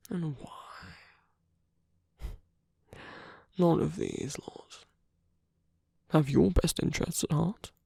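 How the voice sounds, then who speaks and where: Slow, husky, breathy seductive villain, played by a man. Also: fairly high pitch, dark tone, no echo, studio quality, full character voice. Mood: bitter, conspiratorial. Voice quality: breathy, husky, often near whisper. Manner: slow, deliberate, intimate, teasing. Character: seductive, contemptuous villain, suave male romantic rival. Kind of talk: ASMR romance roleplay.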